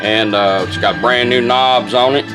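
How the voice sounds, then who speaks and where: A man's voice: medium pitch, steady tone, unhurried, low-quality recording. An American man giving a casual, unscripted product demonstration as a radio restorer, plainspoken and rambling in a folksy way. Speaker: car radio restorer demonstrating his work. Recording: music playing behind the voice.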